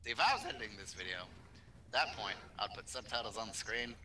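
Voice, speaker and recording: A man's voice: lowish voice, lively intonation, a little fast, pushed and bright, a little hiss or hum. A man chatting casually and teasingly as a co-player during a video game. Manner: teasing, bantering. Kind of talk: casual joking game chat.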